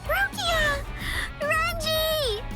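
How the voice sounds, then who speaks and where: Very high, sweet teenage girl. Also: drawn-out words, full character voice. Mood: cheerful, eager. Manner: eager, girlish. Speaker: video game voice actor. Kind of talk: anime game dub acting. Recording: music behind the voice.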